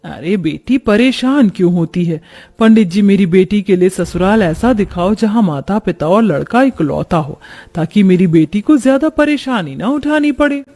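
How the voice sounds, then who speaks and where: A man's voice: high voice, animated, normal speed, mellow. A man voicing a caring middle-aged mother who reassures her daughter in a cartoon story. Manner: reassuring, affectionate.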